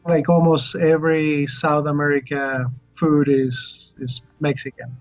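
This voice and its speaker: Slow-talking man. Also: on the high side, flat delivery, faint music behind. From Chile. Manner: halting, searching for words. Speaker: guest, chef. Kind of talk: casual interview chat. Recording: dull lo-fi recording.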